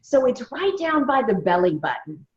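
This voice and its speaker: A woman, a voice coach giving measured, emphatic advice in a remote interview. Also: a little high, big pitch swings. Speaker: voice coach guest. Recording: roomy, dull lo-fi sound.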